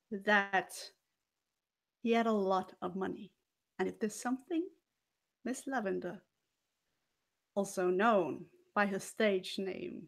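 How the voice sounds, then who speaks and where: A woman telling a story in character as a haughty, scheming former cabaret dancer, theatrical and deliberate with dramatic pauses and swells. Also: mid-range voice. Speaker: player in a murder mystery role-playing game.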